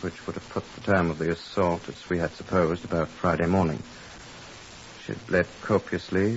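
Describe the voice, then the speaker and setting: A man plays a police superintendent in an old radio drama. His voice is deep and his delivery is dry, formal and measured, like an official giving a report. The recording is muffled, with a steady hiss. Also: natural voice. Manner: formal, measured, matter-of-fact. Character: Scotland Yard superintendent, brisk, dry, middle-aged man. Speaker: narrating detective. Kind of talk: 1950s radio drama acting, first-person case narration.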